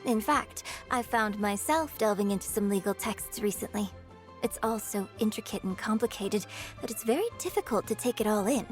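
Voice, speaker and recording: A woman's voice: medium pitch, normal speed, close to an everyday voice. Poised young opera lady, voiced by a woman, over music. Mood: politely probing. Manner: composed, courteous, feigned innocence. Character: poised, polite, quietly cunning young female opera performer. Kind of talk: video game voice acting. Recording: background music under dialogue.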